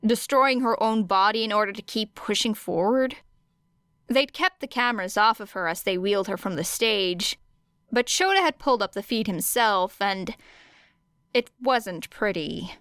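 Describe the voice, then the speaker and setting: A woman narrating scripted fiction as an audiobook, measured, with deliberate pauses. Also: medium pitch, animated, fairly bright tone, clean tone, no echo, studio quality.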